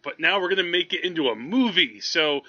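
A man with a forceful, bright voice talks casually as a podcast co-host. He is animated and tends to hesitate mid-thought. The sound is dry and close, a little lo-fi. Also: on the high side, fairly quick, dry recording. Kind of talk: casual movie chat.

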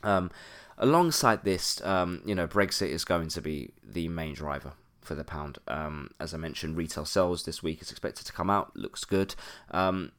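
A man with a very low voice, stuffy and congested from a cold. He talks through market news alone as a podcast host, steady and explanatory, with frequent "um" fillers. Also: normal speed. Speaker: podcast host, currency analyst. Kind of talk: solo market commentary podcast.

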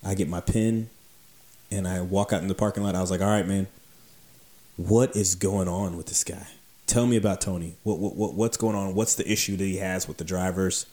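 A man casually telling a long workplace anecdote, acting out the dialogue with animated energy. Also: low voice, normal speed. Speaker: beer delivery storyteller.

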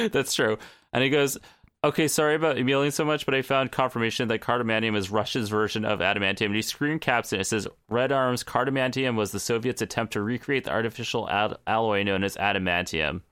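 Young male podcast co-host, smooth clear tone, loose casual delivery. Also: medium pitch, brisk, a bit bright, no room sound, studio quality. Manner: reads listener emails aloud, swears casually, stumbles over words. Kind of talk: casual chat.

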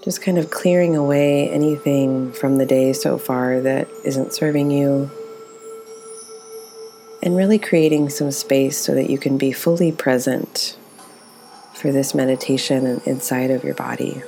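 A woman leading a guided meditation as its teacher, speaking in a gentle, soothing, unhurried way. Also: a bass voice, steady tone, gravelly.

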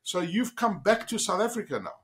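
A man's voice: sits high, big pitch swings, fairly quick, a bit bright. A man hosting a business interview, introducing his guest and asking questions in an enthusiastic, upbeat manner. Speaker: interview host.

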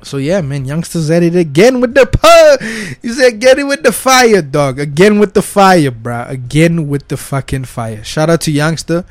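A young man, giving casual, excited reaction commentary full of slang and swearing. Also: fairly high pitch, normal speed, hardly any pauses, dry recording. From South Africa. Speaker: reaction video host.

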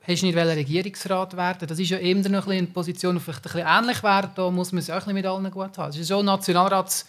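A man with a fairly high voice for a man, working as an interviewer. He builds each question slowly, stacking clause on clause and offering several options. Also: level tone, fairly bright tone. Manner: long, winding questions. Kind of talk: political interview.

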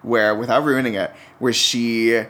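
A man's voice: medium pitch, little pitch movement, unhurried, a bit bright. A man in casual, informal chat, speaking emphatically and often tagging his remarks with "like" and "right?". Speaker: podcast guest reviewing TV pilots.

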